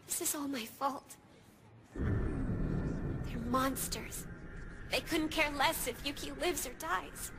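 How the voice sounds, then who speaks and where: A young anime woman speaks in a hushed, bitter way, heavy with guilt and grim resolve. Prominent music plays behind her. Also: high voice, fairly even intonation, a little slow. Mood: anguished, bitter. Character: guilt-ridden, grimly determined young woman. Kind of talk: anime dub acting.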